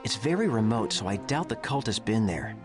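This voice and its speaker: A man voicing a calm, earnest Jedi master giving formal briefings in a video game. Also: on the low side, fairly quick, over music. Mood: calm authority.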